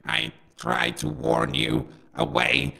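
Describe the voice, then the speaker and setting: Croaking, bitter broken android. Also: male voice, fairly high pitch, steady tone, slow pace, bright, forceful tone, exaggerated voice. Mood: bitter, sarcastic. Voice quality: raspy, croaking. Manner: bitter, sarcastic, insulting. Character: dying, sardonic male android doomsayer, split in half. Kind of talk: scripted audio comedy acting.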